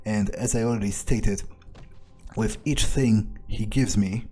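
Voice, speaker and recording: A man voicing an arrogant, scheming male vampire in video game roleplay, haughty and deliberate as he thinks aloud. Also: lowish voice, warm tone. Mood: musing, smug.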